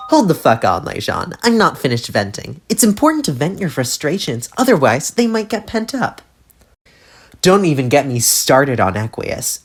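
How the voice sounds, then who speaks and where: A woman's voice: deep voice, breathy edge, exaggerated voice. A woman playing a sullen, sweary young alien troll in a fan audio drama, sarcastic and self-pitying as she vents. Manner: sarcastic, venting, swooping emphasis. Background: music.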